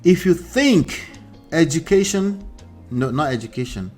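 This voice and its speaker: A man with a Nigerian accent hosts a relationship livestream and reads viewer comments aloud. He repeats his points and swings from loud emphasis to low asides. Faint music plays behind him. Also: expressive, a little slow. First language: Akure dialect. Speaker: relationship coach host.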